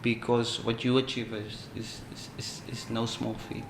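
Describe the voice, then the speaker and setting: A man's voice: medium pitch, level tone, moderate pace, creaky. A man hosting an interview, asking encouraging questions and congratulating his guest. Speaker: podcast host. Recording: echoey room, faint noise.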